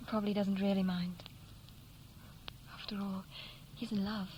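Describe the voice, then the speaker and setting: An actress playing a curious, gently probing young woman from London in a 1960s radio play, talking in a natural conversational way. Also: medium pitch, level tone, unhurried, natural voice. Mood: reflective, candid. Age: young adult. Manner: inquisitive, conversational. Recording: faintly noisy vintage 1960s radio recording.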